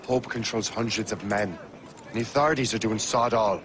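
An actor playing a wry, determined young turncoat assassin in a video game, dry and resolute. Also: male voice, lowish voice, steady tone, brisk, fairly bright tone, close to an everyday voice. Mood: worried urgency. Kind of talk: video game cutscene acting. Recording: noisy outdoor game ambience behind.

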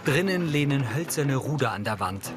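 A man's voice: mid-range voice, lively intonation, normal speed, over loud music. A man reading scripted scene descriptions for a TV series in a neutral, matter-of-fact way. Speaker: audio description narrator.

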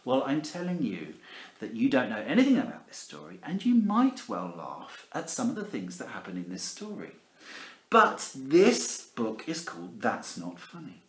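Very animated Englishman reading a storybook. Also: mid-range voice. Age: middle-aged. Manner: theatrical, exaggerated emphasis, conversational asides. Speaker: primary school teacher reading a storybook. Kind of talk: animated picture-book reading with asides to children. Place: living room at home, indoors. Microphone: camera at about arm's length. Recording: echoey room.

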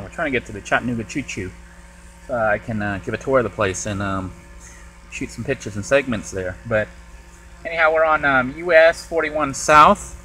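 A man filming a casual vlog from the cab of his van while he drives, talking in a relaxed, rambling way with many ums. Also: mid-range voice, poor audio. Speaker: van-dwelling vlogger. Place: inside a moving van, cab area. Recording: faint road noise in a car cab. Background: vehicle road noise.

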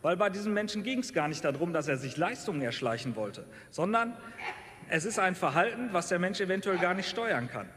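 A man's voice: high voice, sing-song, a little fast, a bit bright, a little hiss or hum. A man giving an earnest, insistent closing speech in a parliamentary debate. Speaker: member of a state parliament. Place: large plenary hall. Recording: echoing plenary hall.

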